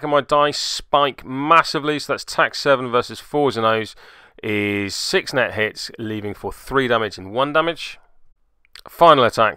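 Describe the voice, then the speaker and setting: A man's voice: fairly low pitch, bright, forceful tone, smooth, dry recording, clean studio sound. A man narrating a casual play-by-play recap of a tabletop game as its host, with wry, self-deprecating asides.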